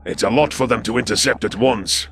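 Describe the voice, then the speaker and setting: A man's voice: mid-range voice, brisk, over music, pitched above the performer's own voice, lightly acted. A man voicing an irritated, disdainful alien officer with dry sarcasm in a science fiction audio story. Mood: disdainful irritation.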